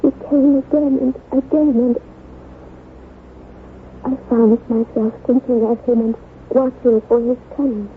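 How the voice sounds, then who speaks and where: An actress playing a frail, guilt-ridden wife in an old-time radio melodrama, speaking with solemn, mournful drama. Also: female voice, fairly high pitch, steady tone, measured pace, long pauses, close to an everyday voice. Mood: tormented confession. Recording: tinny, noisy vintage radio recording.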